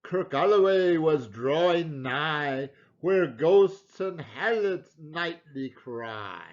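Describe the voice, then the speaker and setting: A man giving a theatrical, expressive reading of a narrative poem in Scots dialect. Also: a little high, slow pace, dull audio. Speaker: poetry reader.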